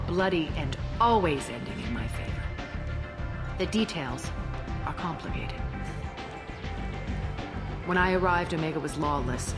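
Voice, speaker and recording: An actress playing a ruthless, ancient alien crime boss who speaks in a cold, cynical, unhurried way. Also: female voice, sits low, lightly acted. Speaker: ruler of the station. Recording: game cutscene with music behind.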